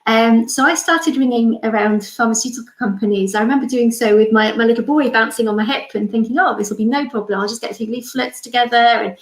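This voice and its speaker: A Welsh woman in her forties speaks earnestly on a panel. She is a consultant who often pauses and restarts, and her voice rings in an echoey room. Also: fairly high pitch. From Wales. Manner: earnest, many fillers and restarts. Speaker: respiratory consultant. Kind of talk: panel talk.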